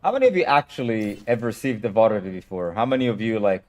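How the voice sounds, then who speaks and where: A man's voice: fairly low pitch, expressive, fast talker. Plain-spoken man coaching live on stream. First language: Hebrew. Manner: direct, answers chat questions. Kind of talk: casual live-stream chat.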